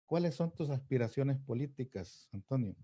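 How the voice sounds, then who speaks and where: A man's voice: mid-range voice, expressive, normal speed, warm tone, husky. A man with a Salvadoran Spanish accent hosting a political interview, asking informal, conversational follow-up questions. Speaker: host, interviewer.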